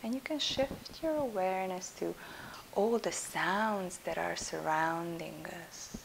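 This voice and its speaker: A woman with a low, creaky voice guides a relaxation meditation. Her delivery is soft and soothing, trailing into breath at the ends of phrases, with long pauses. A steady hiss sits behind her. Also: low-quality recording. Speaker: yoga nidra instructor.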